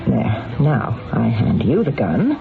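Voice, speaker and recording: A woman's voice: extremely low pitch, sing-song, slow pace, gravelly, exaggerated voice. An actress playing a cold, calculating, domineering woman employer in an old radio drama, commanding and coolly persuasive. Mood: firm, insistent. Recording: tinny narrow-band old radio recording.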